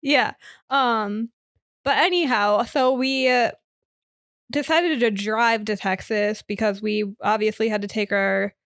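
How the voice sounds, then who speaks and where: Young female podcast co-host, chatty and filler-heavy, smooth clean voice, dry recording. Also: on the high side, slow pace, fairly bright tone, no echo. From Michigan. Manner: chatty, recounting with many fillers. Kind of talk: casual chat.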